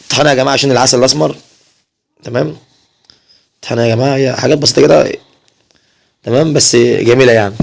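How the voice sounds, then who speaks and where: Egyptian male family vlogger, rapid informal chat, repetitive, viewer-directed delivery. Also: medium pitch, vocal fry. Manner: repeats himself, often addresses viewers.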